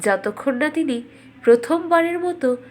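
High-voiced woman narrating a fairytale. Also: lively intonation, a little slow, a little mellow. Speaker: storyteller narrator. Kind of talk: scripted fairytale narration for a cartoon.

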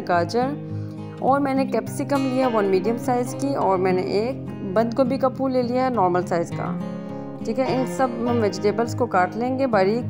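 A woman with a fairly high voice talks through a recipe step by step in a casual way. She mixes English words into her instructions, and plucked guitar music plays clearly behind her. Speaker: cooking channel host.

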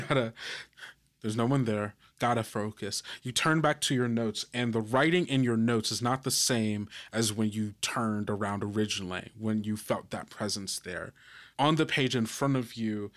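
A man running a tabletop game as game master, improvising suspenseful narration in a halting way. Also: on the low side.

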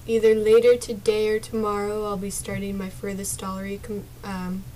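A young woman streaming a game from her small dark bedroom, chatting casually to viewers with a sore, partly lost voice and drifting into mumbles. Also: mid-range voice, monotone, normal speed, slight background noise, rough recording. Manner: soft-spoken, drifts into whispers and mumbles. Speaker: gaming streamer.

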